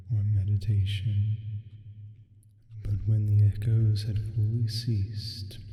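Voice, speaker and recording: A man voicing a sleep paralysis demon, reading gothic fiction slowly for ASMR in a measured, hushed way with long pauses. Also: lowish voice. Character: male sleep paralysis demon reading a bedtime horror tale. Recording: heavily reverberant, echoing voice.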